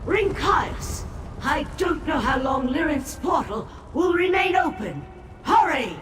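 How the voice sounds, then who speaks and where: Casting: anxious game ally, very high-pitched shouting, long reverb, noisy ambience. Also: sing-song, slow pace, full character voice. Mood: urgent. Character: urgent ally calling through a closing portal. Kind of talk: shouted video game dialogue. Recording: distant, echoing hall, noisy ambience.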